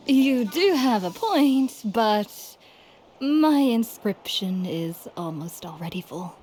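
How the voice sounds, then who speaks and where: Friendly young villager lady in a game, chatty, kinda high voice, bit of music behind. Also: big pitch swings, extremely slow, darkish tone, full character voice. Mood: explaining. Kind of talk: video game character acting.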